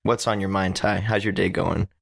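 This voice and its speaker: A man's voice: deep voice, little pitch movement, normal speed, gravelly. A man hosting a podcast, easygoing and encouraging, nodding along with frequent short replies. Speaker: podcast host. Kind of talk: casual interview chat.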